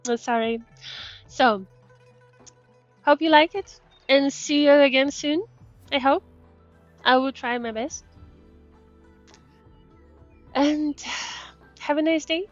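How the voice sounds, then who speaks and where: A woman's voice: high-pitched, slow, pauses often. A woman streaming a game with casual commentary in non-native English, often searching for words. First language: not English. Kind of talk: casual gameplay commentary, reading dialogue aloud. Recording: dull sound with faint music behind. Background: game music.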